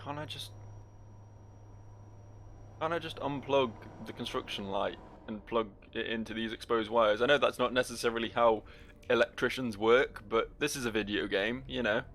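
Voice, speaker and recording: A man with a gravelly, creaky voice at an average pitch hosts a gaming video. He rambles casually and jokingly, teasing the on-screen character as if talking straight to him. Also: moderate pace, fairly bright tone, a little hiss or hum. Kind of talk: casual, joking let's play commentary.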